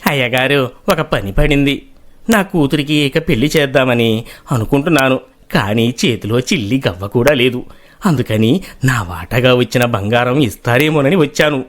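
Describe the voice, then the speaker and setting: A man's voice: medium pitch, moderate pace, lightly acted. A man playing an earnest village farmer in a moral story. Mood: humble, respectful. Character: wronged, earnest village farmer pleading his case. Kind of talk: acted moral-story dialogue.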